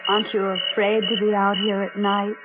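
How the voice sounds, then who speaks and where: A woman's voice: medium pitch, little pitch movement, moderate pace, lightly acted. Casting: woman as coldly intense, menacing sister, clipped delivery, vintage radio thriller with music behind. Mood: menacing, jealous. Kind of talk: 1940s radio melodrama acting. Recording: tinny old radio recording with music.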